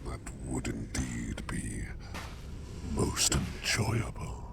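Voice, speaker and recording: Gravelly, abyssally deep sleep demon. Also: male voice, background noise, full character voice. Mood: gentle, wistful. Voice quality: very deep, gravelly, breathy half-whisper. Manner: measured, formal, drawn-out phrases with long pauses. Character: ancient-sounding male sleep demon, courteous, contemplative, gently eerie. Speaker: ASMR roleplay performer. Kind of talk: slow whispery ASMR roleplay.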